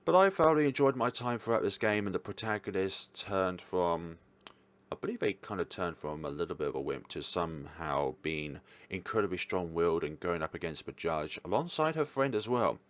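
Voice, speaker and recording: A man's voice: low voice, expressive, brisk. A man giving casual, informal commentary as a reviewer, talking in a rambling way. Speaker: visual novel reviewer.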